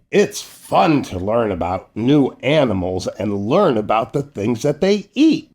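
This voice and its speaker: A man's voice: on the high side, a little mellow. An older man hosting a children's storytime, speaking playfully and with exaggerated expression to young viewers, as an entertainer.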